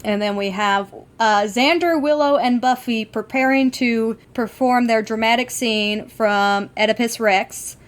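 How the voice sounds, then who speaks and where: A woman's voice: a little high, a little slow, few pauses. Enthusiastic woman chatting as a podcast co-host. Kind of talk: casual TV episode discussion.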